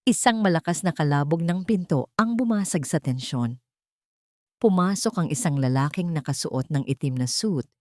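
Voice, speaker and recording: Low-pitched woman narrating a dramatic story. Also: expressive, normal speed, mellow, no echo, clean studio sound.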